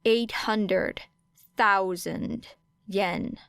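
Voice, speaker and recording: A woman's voice: mid-range voice, steady tone, extremely slow, fairly bright tone, clear, resonant tone, no room sound, clean studio sound. A woman reading scripted fiction as an audiobook narrator.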